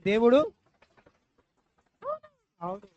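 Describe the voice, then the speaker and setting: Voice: medium pitch, expressive, extremely slow, a little mellow. A puppet show host talking live to children, coaxing and repeating questions. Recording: dull lo-fi live recording, faint noise.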